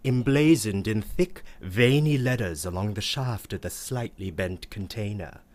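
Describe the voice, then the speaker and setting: A man's voice: lowish voice, darkish tone. A man playing a prim, florid, girlish-minded aunt narrating a radio play in a pompous manner, savoring each word. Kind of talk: theatrical scripted radio play monologue.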